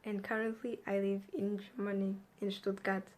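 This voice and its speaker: Even-toned Rwandan woman. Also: mid-range voice, normal speed. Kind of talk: personal testimony.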